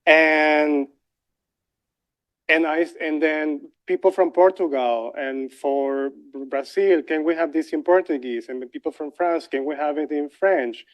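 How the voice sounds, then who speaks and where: A young man from Venezuela with a Spanish accent, telling a personal story as a lecturer, halting with many fillers and restarts. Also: on the high side.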